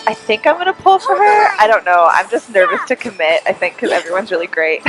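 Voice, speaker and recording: A young woman with a congested, breathy voice, giving casual, excitable live commentary as she streams a game. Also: mid-range voice, low-quality recording. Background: game music.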